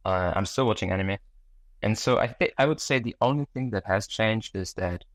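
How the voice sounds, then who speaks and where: Low-voiced man chatting, dry close sound. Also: no echo. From Germany. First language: German. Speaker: interview guest. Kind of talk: casual interview chat.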